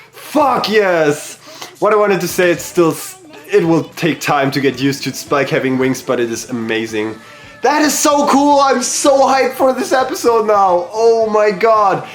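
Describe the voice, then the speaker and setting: Reaction host, slightly sick, a bit raspy, excitable, swears when hyped, faint music behind. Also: mid-range voice, unhurried. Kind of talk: casual reaction commentary.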